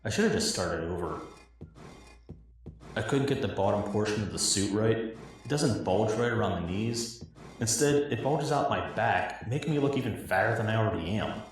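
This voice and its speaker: A man's voice: on the low side, animated, normal speed, far from the mic. A man delivering a dramatic scripted monologue in a hobbyist game parody. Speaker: cosplay builder acting in own parody cutscenes. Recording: echoey, roomy recording.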